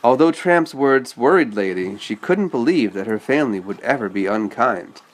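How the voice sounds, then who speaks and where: A man's voice: mid-range voice, lively intonation, moderate pace, slight background noise, low-quality recording. A man reading a children's story aloud and chatting patiently with a child, explaining as he goes. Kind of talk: read-aloud storytelling with casual asides to a child.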